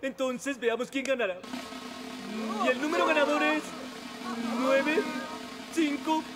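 Music runs behind a showy animal salesman from a children's cartoon. His voice is extremely high for a man, pitched like a raffle announcer. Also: measured pace. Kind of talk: Spanish-dubbed children's cartoon acting. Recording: music under the voice.

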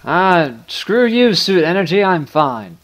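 A man's voice: high voice, animated, slow pace. A young man hosting a gameplay video, chatting casually with playful exasperation. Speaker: gaming video host. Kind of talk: casual gameplay commentary and banter.

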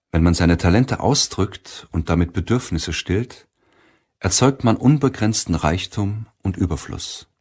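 A man narrating an audiobook in a measured, solemn way, reading from a script. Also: low voice, husky.

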